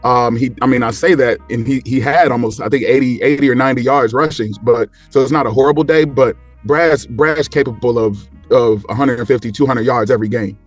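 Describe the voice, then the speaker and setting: A man's voice: medium pitch, fairly quick, few pauses, soft background music. A man in casual sports talk as a panel guest, rambling along and repeating phrases for emphasis.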